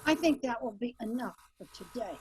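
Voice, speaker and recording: Woman playing a high-voiced, stiff portrait painter. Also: lively intonation, brisk, slightly rough. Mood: polite, curt. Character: polite, stiff society portrait painter, a man.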